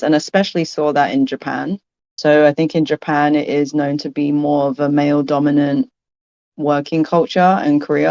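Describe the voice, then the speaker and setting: Low-voiced woman, dull dry sound. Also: a little mellow, smooth, no room sound. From London, UK. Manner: thoughtful, hesitant, restarts phrases and laughs often. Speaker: podcast guest. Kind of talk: casual interview conversation.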